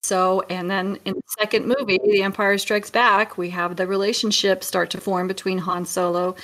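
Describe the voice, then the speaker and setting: A woman's voice: mid-range voice, flat delivery, moderate pace, fairly bright tone, low-quality recording. A woman co-hosting a podcast, thinking aloud as she casually analyses and compares stories. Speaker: podcast co-host and author. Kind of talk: casual film discussion.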